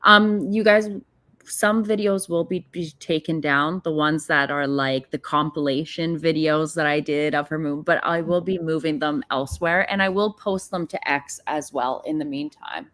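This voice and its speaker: A woman's voice: low voice, moderate pace, fairly bright tone, dry recording. A woman whose first language is Serbian, talking as a guest on a live stream, earnest and explaining at length. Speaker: guest YouTuber. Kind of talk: casual live-stream chat.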